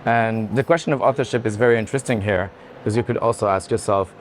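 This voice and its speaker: A man giving casual, haltingly phrased art commentary while talking with others in a busy fair hall. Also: lowish voice. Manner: halting, loosely phrased with frequent "like". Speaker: art institution director on a fair tour. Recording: clear background noise of a busy venue.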